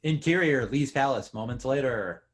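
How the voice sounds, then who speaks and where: A man's voice: medium pitch, animated, normal speed. A man announcing scene headings and captions in a scripted screenplay reading.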